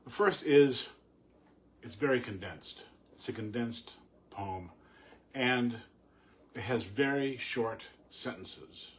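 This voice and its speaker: A man reading and discussing poetry informally, in a reflective, halting, explanatory way. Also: mid-range voice. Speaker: poet reading and commenting on a poem. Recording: clearly audible background noise.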